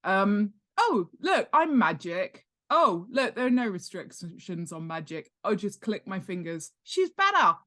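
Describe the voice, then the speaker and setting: A woman speaks as an expert guest in a casual, instructional interview. Her pace is very measured and her pitch sits in the middle. She is emphatic and informal, restating key points and tossing in rhetorical questions. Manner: animated, emphatic, repeats key points for stress; informal, uses rhetorical questions and acted-out examples. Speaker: podcast guest, author and world-building expert.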